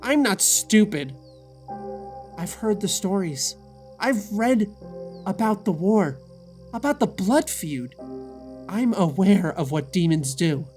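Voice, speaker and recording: A woman's voice: medium pitch, lively intonation, slow, pauses often, a little mellow, soft background music. A woman voicing a wary, protective young male traveler, defiant and suspicious, in an audio drama. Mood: defensive, bitter.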